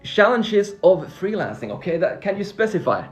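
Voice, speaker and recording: A man's voice: high voice, big pitch swings, normal speed. Upbeat Finnish man reading chat questions. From Finland. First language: Swedish. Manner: upbeat, repeats words and restarts while reading viewer comments. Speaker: freelancing coach answering live chat questions. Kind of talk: casual live stream Q&A. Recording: faint background music.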